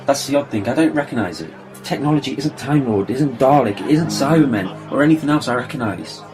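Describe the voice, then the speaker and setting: A man acting in an audio drama as a grave, weary time traveller, speaking in a solemn, measured way. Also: mid-range voice, expressive, over music, lightly acted, rough recording. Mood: pensive.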